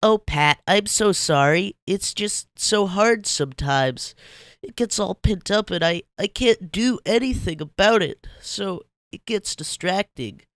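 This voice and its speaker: Cartoon sponge: high and clear, nervy, lovestruck, whimpering, apologetic stammer. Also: male voice, unhurried, fairly bright tone, no room sound, studio quality. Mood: guilty, evasive. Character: eager, anxious, lovestruck young cartoon sponge. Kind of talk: dramatic fan fiction reading.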